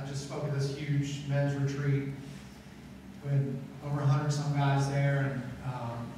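A man's voice: medium pitch, background noise. A middle-aged man preaching a chapel sermon to students on a school auditorium stage, talking conversationally and repeating phrases for emphasis. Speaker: pastor. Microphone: far camera in hall. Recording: echoey hall from far off.

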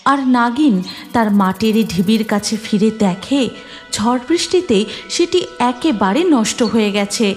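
A woman's voice: fairly high pitch, animated, measured pace, darkish tone, faint music behind. A woman narrator reading a scripted fairy tale for a cartoon.

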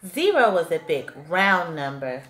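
A young woman reading a children's picture book aloud in an animated, emphatic way, as a teacher would to small children. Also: lowish voice, measured pace, reverberant. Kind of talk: expressive children's storybook read-aloud. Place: small classroom-like room, indoors.

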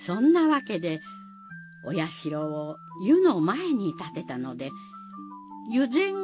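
A woman narrates a radio folktale in folksy dialect. Her telling is slow and richly inflected, set over clear background music. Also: mid-range voice. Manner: rustic dialect endings, addresses listeners directly. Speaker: storyteller.

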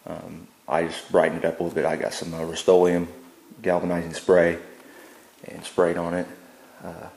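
Deep, flat-voiced man in an echoey garage. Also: normal speed, gravelly. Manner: relaxed and plain-spoken, many ums and uhs. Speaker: DIY builder showing his work. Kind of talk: casual how-to walkthrough. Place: indoor garage.

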